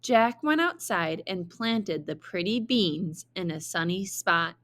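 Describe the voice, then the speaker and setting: Woman teacher reading a kids book aloud, slow n expressive, lowish voice. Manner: expressive, deliberate. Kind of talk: children's storybook narration.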